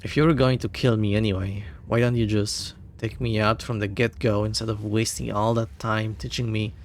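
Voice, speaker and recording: A man's voice: lowish voice, flat delivery, normal speed, slight background noise, natural voice. A man reading as a bitter betrayed young pupil. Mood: accusing.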